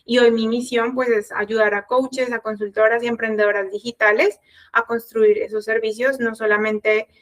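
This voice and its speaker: A woman's voice: on the high side, level tone, moderate pace. A woman in her thirties with a Colombian Spanish accent, presenting an online workshop conversationally as a sales coach.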